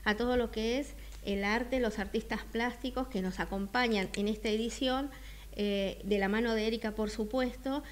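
A woman's voice: on the high side, fairly even intonation, normal speed, a little hiss or hum. A middle-aged woman with a Buenos Aires accent, talking casually as a radio interview guest, hesitant and agreeable. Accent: Buenos Aires Argentine. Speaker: interview guest, art expo organizer. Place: small radio studio. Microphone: close studio microphone with headset.

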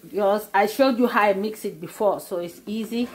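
A woman's voice: medium pitch, normal speed. A middle-aged woman casually walking through a recipe in her home kitchen, explaining each step with many fillers. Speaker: cooking vlogger. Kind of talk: casual cooking demonstration.

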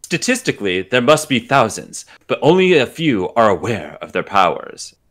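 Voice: mid-range voice, sing-song, normal speed. A host on a video call, reading script parts casually and breaking off into exasperated commentary. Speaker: host reading parts in a table read and reacting. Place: indoors at home on a group video call. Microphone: video call microphone.

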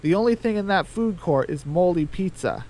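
A man plays a take-charge cartoon chicken in a radio drama. The voice is a little high and very animated. It is brisk and commanding, bossing the others around. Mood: dismissive. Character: bossy, take-charge chicken leader named Hale.

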